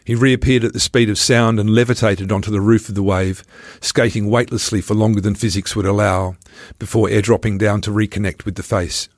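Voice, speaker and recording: A man's voice: lowish voice, fairly even intonation, brisk, few pauses, no room sound, clean studio sound. A man reading his own travel memoir aloud as scripted first-person narration.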